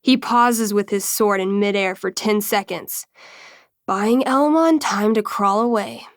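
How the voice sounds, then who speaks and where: A woman voicing a friendly, theatrical teenage girl who runs a tabletop fantasy game, expressive and wry, in a video game. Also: mid-range voice, a little slow, no room sound, studio quality, lightly acted. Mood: dramatic, suspenseful. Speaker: game master. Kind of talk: dramatic tabletop storytelling and casual chat.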